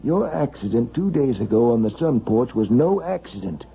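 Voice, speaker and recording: A probing doctor in old radio play, intense, swoopy delivery, muffled recording. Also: male voice, mid-range voice, very animated, moderate pace, creaky, a little hiss or hum. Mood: insistent, pressing. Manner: intense, dramatic questioning. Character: earnest male doctor, probing and insistent. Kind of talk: 1970s radio drama acting.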